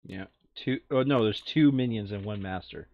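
A man casually playing through a board game, reading card text aloud and adding expressive, muttered asides. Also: on the low side, normal speed, highs cut off.

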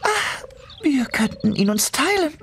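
A man's voice: very high voice, very animated, measured pace, a bit bright, a little hiss or hum, heavily acted. A male actor voicing a vain, cunning evil queen in a theatrical children's radio play. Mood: slyly coaxing. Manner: theatrical, exaggerated.

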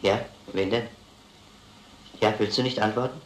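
An actor playing an earnest, methodical police lieutenant, speaking deliberately and plainly in an old crime drama. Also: male voice, lowish voice, steady tone, close to an everyday voice. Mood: probing. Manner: deliberate, plain-spoken, addresses children gravely. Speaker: police investigator. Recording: echoey, noisy old film soundtrack.

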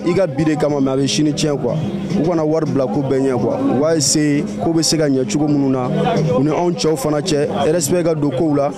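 Middle-aged man, street interviewee, calm measured delivery, a bit high, music bed. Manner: measured, moralizing. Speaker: passerby interviewee. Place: outdoor market. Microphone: handheld mic held by interviewer.

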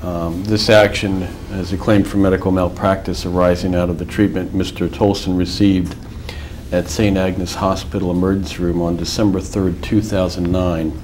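A man questioning a witness as an attorney in a formal deposition, measured with filler pauses. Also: low-pitched, flat delivery, darkish tone, a little hiss or hum, poor audio.

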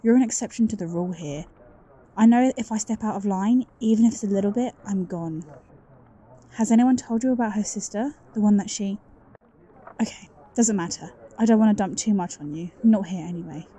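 A suspicious, insecure coworker played by a woman in a roleplay audio drama. Her voice is very dark and soft-edged at medium pitch, conversational and confiding. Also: a little fast, husky, close to an everyday voice. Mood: uneasy, confiding. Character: suspicious, insecure office colleague confiding quietly.

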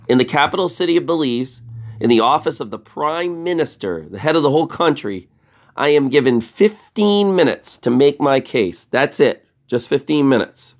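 A man narrates a children's storybook with lively, swooping intonation. His voice has a gravelly creak, and he moves deliberately from phrase to phrase, leaving dramatic pauses. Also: medium pitch. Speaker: story reader. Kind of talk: storybook read-aloud narration.

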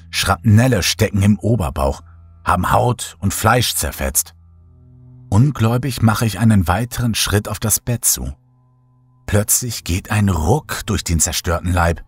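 Man, dramatic horror audiobook narration, husky tone, very dry close sound, somber, measured suspense. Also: on the low side, no room sound.

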